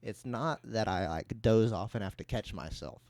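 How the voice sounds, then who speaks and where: A young man with a fairly low voice talks casually, as a podcast co-host would. He tells personal stories and banters in conversation, recorded close and dry. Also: animated, moderate pace, a little mellow, no echo. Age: young adult.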